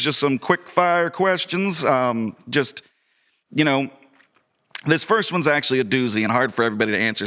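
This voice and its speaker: A man's voice: fairly high pitch, sharp tone, smooth. A man co-hosting a podcast in casual chat, asking questions in an informal, easygoing manner. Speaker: podcast host.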